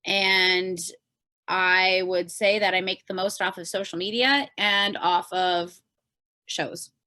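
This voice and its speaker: A woman's voice: fairly low pitch, takes it slowly, no echo. A woman chatting casually and informally as a podcast guest, telling things with lots of "like". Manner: informal, peppered with "like", animated retelling. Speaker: podcast guest, solo pop artist and content creator. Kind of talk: casual interview chat.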